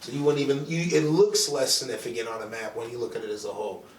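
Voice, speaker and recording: A man hosting a podcast, speaking in a measured, reflective way in casual chat. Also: medium pitch, fairly even intonation, crowd noise. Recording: echoey room, distant mic.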